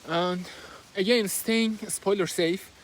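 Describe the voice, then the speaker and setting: Male book vlogger with a Persian accent who talks while walking outside: somewhat high pitch, hesitant delivery, recorded on a phone with light background noise. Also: steady tone, slow pace, low-quality recording. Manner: hesitant, with many fillers and restarts. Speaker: book reviewer. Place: outdoors in nature, walking. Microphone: handheld phone, no fancy equipment.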